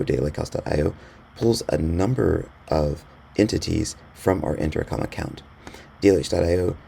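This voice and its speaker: A man narrating an instructional software screencast in a measured, step-by-step explanatory way. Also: rumbling low, creaky. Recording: voice-over with faint background noise.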